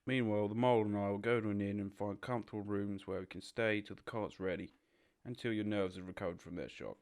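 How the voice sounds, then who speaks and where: A man voices a practical, bossy water rat for an audio drama. The voice is lowish and clear, with a brisk, exasperated, matter-of-fact manner. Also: fairly even intonation, a little mellow, natural voice. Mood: firm, bossy.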